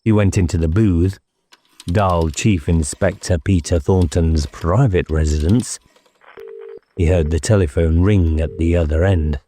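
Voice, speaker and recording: A man narrating a horror novel as an audiobook, in a measured, deliberate, suspenseful manner. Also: deep voice, dark tone. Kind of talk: scripted dramatic horror narration.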